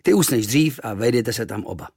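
A man voicing a gruff, greedy innkeeper who barks short, curt answers. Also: mid-range voice, lively intonation, background noise, lightly acted. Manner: curt, barking.

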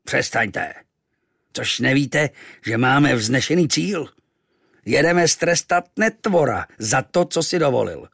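A man's voice: medium pitch, lively intonation, creaky, a bit bright, slightly rough, no echo, studio quality, pitched above the performer's own voice, exaggerated voice. A man doing a roaring, menacing old sea captain. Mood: wrathful. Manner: roaring, solemn and threatening. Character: stern gruff old sea captain with wooden leg.

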